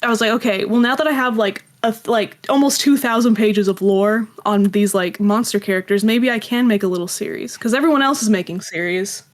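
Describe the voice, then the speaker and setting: A woman's voice: medium pitch, a little fast, few pauses. A woman telling personal anecdotes as an interview guest in a casual, rambling, self-deprecating way. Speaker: interview guest, audio drama creator.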